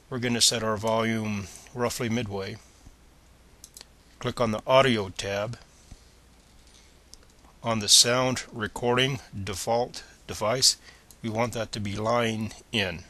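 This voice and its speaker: A man with a fairly low voice and a bright, forward edge gives step-by-step computer instructions. He is plain and methodical, speaking as a screencast presenter. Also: steady tone, takes it slowly, pauses often. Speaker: tutorial presenter.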